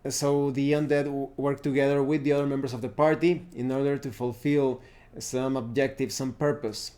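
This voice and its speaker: A man's voice: medium pitch, little pitch movement, normal speed, dark tone. A man giving an unscripted explanation to viewers as a tabletop gaming host, thinking aloud and often restarting his phrases.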